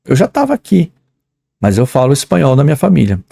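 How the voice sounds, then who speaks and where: A young man with a São Paulo accent talks students through an English exam in a loose, slangy way. His voice is gravelly and mellow. He reads English phrases aloud, then translates and jokes about them. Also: mid-range voice, huge pitch swings, a little fast. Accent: São Paulo Portuguese. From São Paulo, Brazil. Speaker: English teacher. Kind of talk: exam-prep lesson.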